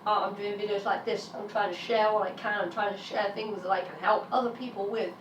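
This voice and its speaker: A British woman in her thirties, a little raspy from illness, talking frankly and emphatically to her vlog audience. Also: medium pitch, fairly even intonation, brisk, slight background noise. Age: 30s. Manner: frank, emphatic, swears when frustrated. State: raspy while getting over an illness. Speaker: vlogger. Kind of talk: candid personal vlog monologue. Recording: roomy sound from a distance, faint ambience.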